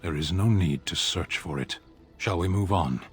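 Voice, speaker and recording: An actor playing a stoic, stern male warrior in a fantasy game, speaking in a blunt, deadpan, deliberate and philosophical way. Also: low-pitched, husky, faint music behind. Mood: firm, stern. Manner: deadpan, terse, deliberate, matter-of-fact. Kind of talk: scripted fantasy game dialogue.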